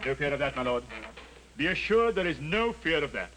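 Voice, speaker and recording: An actor playing an obsequious, precise secretary flattering his lord, in period radio drama style. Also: on the high side, brisk. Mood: smooth, obsequious. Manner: fawning, precise.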